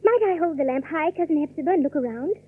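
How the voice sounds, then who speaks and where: A woman's voice: sits high, animated, quick pace, no room sound. An actress playing a polite, eager, wide-eyed young country girl in a radio drama, earnest and deferential. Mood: wondering. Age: young adult. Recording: dry, muffled telephone-like old radio sound.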